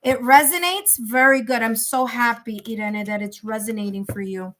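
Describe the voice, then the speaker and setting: A woman's voice: fairly high pitch, normal speed, fairly bright tone, a little hiss or hum. Chatty New York tarot reader woman. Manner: chatty, reassuring, thinks aloud with fillers. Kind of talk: live tarot reading stream.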